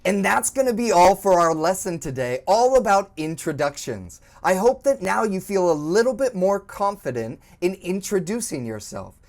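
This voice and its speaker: A woman teaching an English lesson, speaking slowly and clearly, encouraging and prompting the learner to repeat. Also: mid-range voice, very animated, a little mellow. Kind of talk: slow, clearly spoken language lesson.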